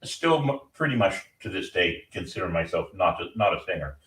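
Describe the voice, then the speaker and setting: A man's voice: deep voice, very animated, fairly quick, a bit bright, distant mic. A man hosting a podcast, talking energetically and rambling, laughing often. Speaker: podcast host. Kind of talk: casual podcast chat. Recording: roomy, off-mic.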